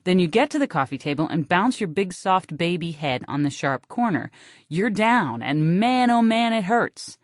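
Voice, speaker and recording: A woman's voice: lowish voice, sing-song, moderate pace, dry recording. American woman narrating, dry close studio sound. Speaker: audiobook narrator. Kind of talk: scripted literary narration in first person.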